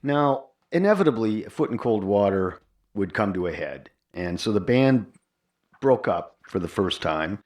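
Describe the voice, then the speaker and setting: Low-voiced, lively male podcast host. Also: a little slow. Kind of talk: conversational interviewing.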